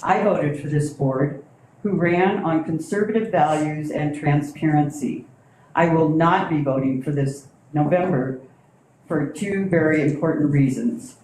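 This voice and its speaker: Middle aged woman, blunt, criticizing the board, loud voice, echoey room, faint hiss. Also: sits low, measured pace. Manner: blunt, critical, speaks up loudly. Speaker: public commenter, longtime resident. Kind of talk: public comment at a board meeting. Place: school board meeting room.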